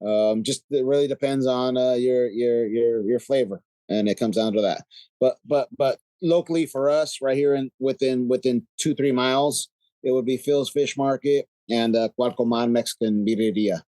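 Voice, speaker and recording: A man's voice: medium pitch, steady tone, moderate pace, dark tone, no room sound. A man chatting casually and emphatically as a podcast guest, swearing freely. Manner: profane, emphatic. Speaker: podcast guest from a local golf crew. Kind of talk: casual chat.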